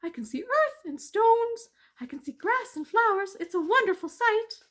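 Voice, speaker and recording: Woman voicing a high-pitched, quick-witted little mouse. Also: lively intonation, fairly bright tone. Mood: cheerful. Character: small clever mouse, pleading yet quick-witted storyteller. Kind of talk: children's storybook character voice.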